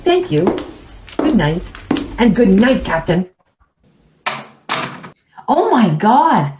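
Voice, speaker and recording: A woman's voice: medium pitch, very animated, drawn-out words, reverberant, noisy background. A woman playing an anxious, lonely middle-aged wife in a radio play, speaking in a proper, theatrical manner. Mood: panicked. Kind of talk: radio drama acting.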